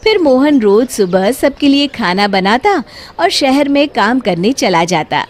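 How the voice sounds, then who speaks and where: A woman's voice: a little high, lively intonation, unhurried. A woman narrating a scripted moral story for children.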